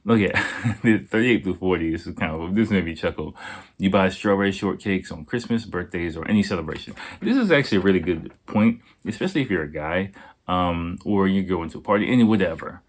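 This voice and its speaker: A slightly hoarse man hosting a casual solo podcast, talking in a laid-back, rambling way with plenty of swearing. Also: low-pitched, expressive, brisk. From United States. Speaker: podcast host. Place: Japan.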